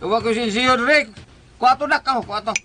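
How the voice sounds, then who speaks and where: A man's voice: very high-pitched, a little fast, music in the background. A man playing a neighbourhood buddy in a comedy skit, with a Cebuano accent. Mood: chummy.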